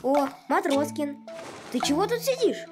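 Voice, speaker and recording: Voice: sits high, big pitch swings, unhurried. A performer voicing a thoughtful young boy with an earnest, mildly reproving manner in a children's cartoon. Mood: concerned. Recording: loud music behind.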